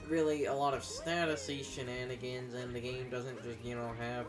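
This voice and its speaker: Male co-host for casual game commentary, medium-pitched, wandering and stop-start, with music clearly underneath. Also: little pitch movement. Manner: rambling, halting, circles back to his point. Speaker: gaming video co-host.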